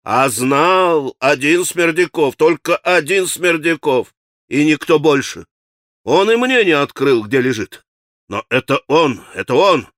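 A man's voice: fairly high pitch, animated, unhurried, no echo, clean studio sound. A man voicing a frantic, hot-tempered accused man who protests his innocence in an agitated, insistent, breathless way, as in a dramatic audiobook. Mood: desperate, insistent. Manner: agitated, shouting, rambling, repeating himself. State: gasping for breath. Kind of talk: dramatic audiobook character acting.

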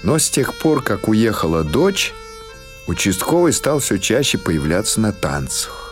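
Man, very low voice, audiobook narrator, literary storytelling, faint music underneath. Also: very animated, unhurried. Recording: music behind the voice.

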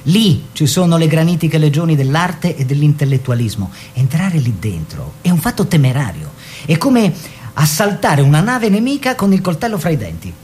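A man's voice: big pitch swings, normal speed, darkish tone. An actor playing a theatrical man of the arts world, declamatory and ironic, in a radio drama style. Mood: teasing. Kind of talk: theatrical narration and dialogue for radio. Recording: radio play with faint noise and rumble.

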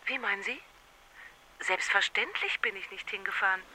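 A woman's voice: medium pitch, moderate pace, sharp tone, slight background noise, low-quality recording. An actress playing a worried, evasive woman doctor in a German radio crime mystery, formal and defensive, heard through a telephone. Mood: worried, puzzled. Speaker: radio play actor.

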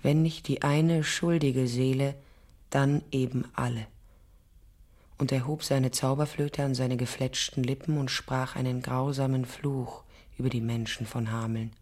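An actress playing a tender, firm German mother in a radio drama, speaking deliberately, like a solemn storyteller. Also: rumbling low, fairly even intonation, a little mellow. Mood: solemn, ominous. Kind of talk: radio drama acting, fairy-tale storytelling. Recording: music behind the voice.

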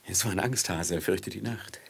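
An actor playing an uneasy, ashamed merchant on the run, in a hesitant, dramatic radio play manner. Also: male voice, sits low, brisk, creaky, slightly rough, full character voice. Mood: furtive, hesitant.

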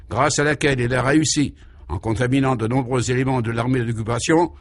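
A man playing a devoted, deeply moved male admirer in a comic radio serial, emphatic and ceremonious. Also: natural voice. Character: tearful, devoted male admirer reading a solemn citation.

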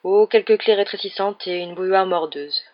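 A woman's voice: medium pitch, normal speed, dull audio, lightly acted. Woman playing a weary, sheepish wizard dad. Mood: weary, explaining. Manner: rambling explanations, stammers when caught out. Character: weary middle-aged wizard father, enthusiastic, sheepish, henpecked.

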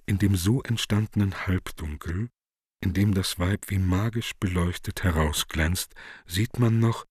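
A man's voice: deep voice, measured pace, a little mellow, husky, no echo, clean studio sound. A man narrating the stage directions of a radio play from a script.

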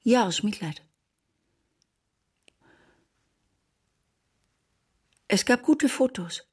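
A woman's voice: a little high, lively intonation, moderate pace, darkish tone, slightly rough. An actress playing a bitter, sardonic woman survivor in a literary radio drama, speaking in pointed declarations.

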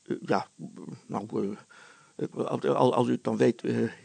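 A man's voice: medium pitch, fairly even intonation, measured pace, gravelly, darkish tone, husky, no room sound, exaggerated voice. A man voicing an honest, nervous village inventor in a storybook, speaking hesitantly and deferentially. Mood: flustered.

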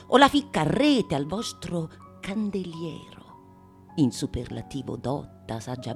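A woman playing a grave, ardent philosopher-author, declaiming a solemn monologue for radio theatre with deliberate pauses. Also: low voice. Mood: rhetorical, solemn, then ardent, hushed.

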